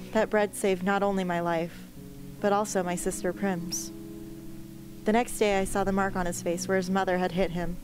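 A young woman playing a determined, anguished teenage girl rebel in an amateur radio play, speaking earnestly and with measured care. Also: medium pitch, natural voice, rough recording. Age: young adult. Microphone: close studio microphone on stand.

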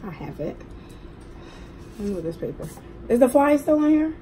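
A middle-aged woman with a hoarse voice, chatting casually to viewers as a mukbang host at a table. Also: on the high side, sing-song, moderate pace, mellow. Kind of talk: casual eating vlog chat. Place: indoors at a table at home. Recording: clearly noisy room recording.

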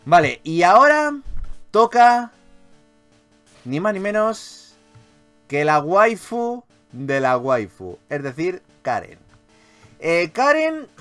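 Spanish guy from spain doing a casual lets play, kinda high voice, super animated, swears a lot. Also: slow pace, pauses often, faint music behind. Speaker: gaming video host. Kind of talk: casual gameplay commentary.

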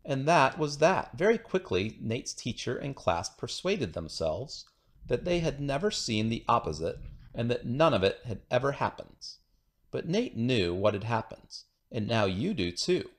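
A man reading a children's picture book aloud in an expressive storytelling manner. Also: medium pitch, a little slow. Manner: expressive storytelling with acted dialogue. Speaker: storybook reader.

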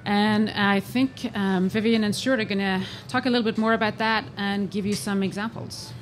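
A middle-aged woman moderating a festival panel, speaking in a halting, self-correcting way into a stand mic in a large tent. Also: mid-range voice, steady tone, slight background noise. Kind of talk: introducing a panel segment.

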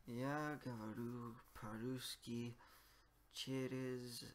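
Very slow, halting young man learner. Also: medium pitch, steady tone, slight background noise. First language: Japanese. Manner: halting, repeats phrases after the app, many pauses. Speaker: language learner. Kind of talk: self-recorded speaking practice.

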